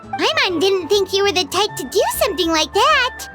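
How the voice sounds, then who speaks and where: Tiny game fairy sidekick, squeaky, very high, childlike, cheerful, loud music underneath. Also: female voice, sing-song, moderate pace. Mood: chirpy. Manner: refers to self in third person, chirpy.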